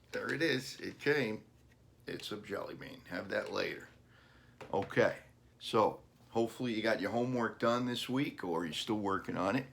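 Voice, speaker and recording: A man reads for children in a fairly low voice at a very slow pace. His manner is deliberate, that of an author presenting his own storybook. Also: gravelly, fairly bright tone, slight background noise. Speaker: author reading own book.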